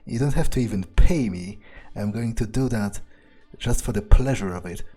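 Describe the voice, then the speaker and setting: A man's voice: fairly low pitch, normal speed, warm tone, slightly rough. A man doing in-character roleplay commentary over a game, thinking aloud hesitantly with casual menace. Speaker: gaming video player. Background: faint music.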